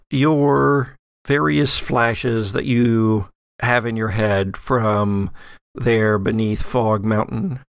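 A man's voice: medium pitch, creaky, dry recording, clean studio sound. A man narrating as game master of a horror tabletop role-playing game.

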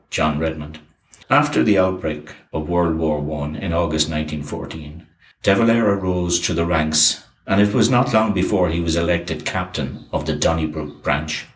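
A very deep male voice reads a historical narration in an even, measured way. The recording carries a noticeable roomy echo. He keeps a calm, steady documentary tone throughout. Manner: even, measured reading of dates and names.

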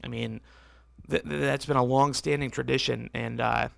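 A man hosting a casual solo podcast, rambling and correcting himself as he talks, with a voice that is going hoarse. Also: medium pitch, steady tone, normal speed, dry recording, studio quality. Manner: rambling, self-correcting, conversational. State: losing his voice, hoarse. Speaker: podcast host.

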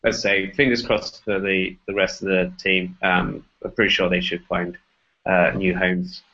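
A man's voice: low voice, flat delivery, measured pace, pushed and bright. A man co-hosting a casual tech podcast while recovering from an illness, speaking hesitantly with many fillers. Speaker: podcast co-host. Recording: dull lo-fi video call audio.

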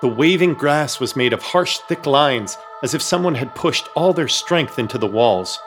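Brief: male narrator, wry storyteller, mid-pitched, faint music behind. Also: lively intonation, moderate pace, a bit bright. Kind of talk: scripted horror comedy narration.

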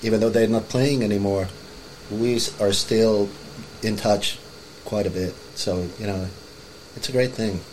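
Low-voiced Finnish-born man, relaxed chat. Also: unhurried, a little hiss or hum, rough recording. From Finland. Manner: relaxed, rambling with many "you know"s. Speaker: podcast guest. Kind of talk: casual chat.

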